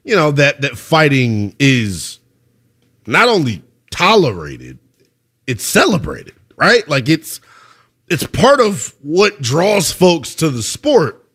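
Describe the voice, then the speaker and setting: Animated young sports radio host, man. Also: fairly high pitch, slow, slightly rough. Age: 20s. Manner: lively, laughs often, slangy. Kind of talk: call-in sports talk.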